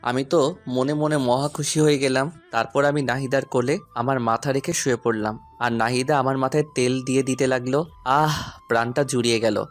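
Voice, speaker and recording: A man plays a shy, lovesick college student telling a romantic audio story. His pitch is average for a man. Faint music plays behind him. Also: fairly even intonation, moderate pace, lightly acted. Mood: wistful. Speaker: narrator and lead actor. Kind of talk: first-person romantic audio story narration. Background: background music.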